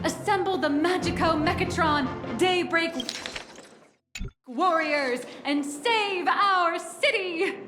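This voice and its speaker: A woman voicing a polished, upbeat female company guide in a video game, with smooth, salesy presenter patter. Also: very high voice, takes it slowly. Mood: encouraging. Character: polished, upbeat female corporate guide promoting mechatron building. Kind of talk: scripted video game dialogue. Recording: roomy sound under loud music. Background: loud music.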